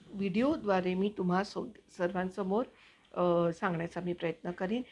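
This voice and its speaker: A woman hosting her own channel, chatting to viewers in a casual, explanatory way with hesitant pauses as she finds her words. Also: medium pitch, a little mellow. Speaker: actor and video channel host.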